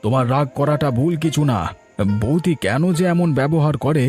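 A man's voice: fairly high pitch, fairly quick, darkish tone, faint music behind, natural voice. A man voicing a concerned, reasonable young village husband in a children's cartoon, with an earnest manner. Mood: troubled.

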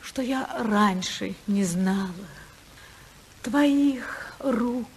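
An actress playing a passionate, unhappy senator's wife in love, in a fervent, emotional 1950s radio drama style. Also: female voice, fairly high pitch, extremely slow, slight background noise. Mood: tender, yearning.

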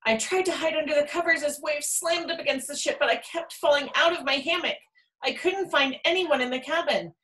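A woman reading a children's picture book aloud in an expressive storytelling style. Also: sits high, a little fast, rough recording. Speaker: storybook reader.

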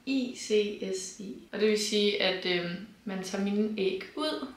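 A woman in her twenties talking frankly to camera in a casual vlog, seated beside her partner in a bright room. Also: medium pitch. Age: 20s. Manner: open, frank, laughs lightly. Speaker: vlogger. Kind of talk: casual personal talk to camera. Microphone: camera on tripod, seated beside partner. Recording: roomy echo.

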